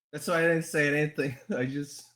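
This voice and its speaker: A man's voice: on the high side, fairly bright tone. A man hosting a casual podcast chat, informal and free with swearing. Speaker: podcast host.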